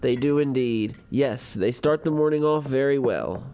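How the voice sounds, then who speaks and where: A man acting an urbane, devoted, gently pedantic husband with a wordy, courtly way of talking in a radio comedy. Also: medium pitch, normal speed, a little hiss or hum, lightly acted. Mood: courtly, amused.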